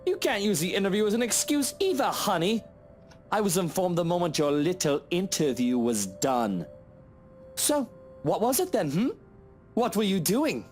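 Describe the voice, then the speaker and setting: A sardonic husband in a visual novel, fond of a drink and full of self-pity. He drawls and needles with condescending sweetness, his pitch sweeping up and down over a music backing. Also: big pitch swings, normal speed. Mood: sardonic, needling. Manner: drawling, needling, mock-affectionate.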